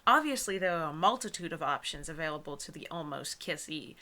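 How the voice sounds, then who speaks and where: Young female co-host, lowish and bright, chatting casually and reading aloud with sarcastic, opinionated flair. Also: a little fast. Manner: animated, opinionated, sarcastic asides. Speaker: co-host of a comedic book-reading show. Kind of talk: casual chat mixed with mocking dramatic reading.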